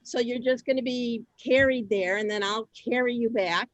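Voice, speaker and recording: A middle-aged woman hosting a group on a video call, chatting informally and telling stories in a conversational, animated way. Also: fairly high pitch, moderate pace, dry recording, muffled recording. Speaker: host of a synagogue group session. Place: video call, close dry sound.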